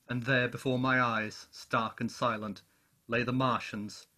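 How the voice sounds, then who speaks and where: A man playing a solemn professor who survived an invasion, narrating a radio play in measured, literary phrases. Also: mid-range voice, sharp tone.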